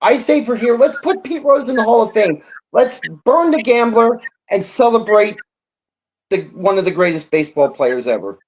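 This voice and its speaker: A man's voice: very high voice, animated, moderate pace, darkish tone, poor audio. A man co-hosting a sports show, giving his opinion in casual talk.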